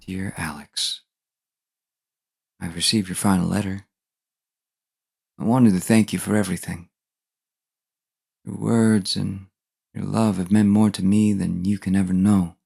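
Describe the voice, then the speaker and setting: A man acts as a departed lover's spirit in an audio drama, gentle and solemn. The voice sits low, heavily creaky and husky, as it reads a loving farewell letter. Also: fairly even intonation, a little slow, pauses often, full character voice. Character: gentle spirit of a dead partner, lovingly saying goodbye.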